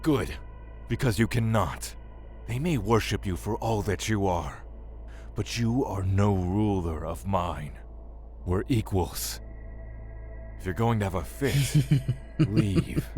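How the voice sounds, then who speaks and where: An actor playing a proud, defiant male god in an audio drama, speaking in a haughty, deliberate manner. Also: on the low side, lively intonation, a little mellow, faint music behind. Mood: scornful. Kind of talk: dramatic audio fiction acting and narration.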